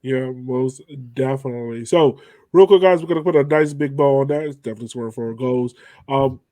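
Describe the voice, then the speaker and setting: A man's voice: mid-range voice, fairly quick, mellow, dry recording. A man hosting a sports podcast in a casual, chatty style. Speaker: podcast host.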